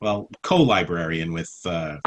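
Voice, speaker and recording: A man's voice: low voice, expressive, unhurried. A middle-aged American man chairing an informal club meeting in a playful, mock-formal way, on a headset over a video call. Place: small room at home. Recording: noisy video-call audio with clicks.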